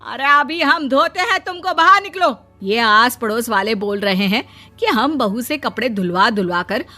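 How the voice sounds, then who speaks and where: A woman voicing a scolding middle-aged mother-in-law in a cartoon story, bossy and quick to anger. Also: a little high, lively intonation, sharp tone. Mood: angry. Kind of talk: Hindi cartoon voice acting.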